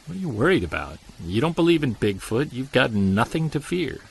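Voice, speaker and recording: A middle-aged man playing a brooding, obsessive Bigfoot investigator in an audio drama, speaking in a measured way. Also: mid-range voice, sing-song, creaky, slight background noise, rough recording. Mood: teasing. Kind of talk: audio drama monologue and dialogue, confessional recorded journal.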